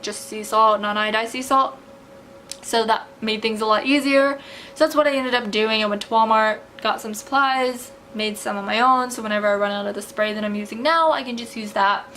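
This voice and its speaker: A woman's voice: on the high side, bright, forceful tone, slight background noise. A young woman vlogging in a casual, rambling way, full of "like" and "um", her voice tiring from talking. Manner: rambling, many filler "like"s and "um"s. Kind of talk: casual chat to camera.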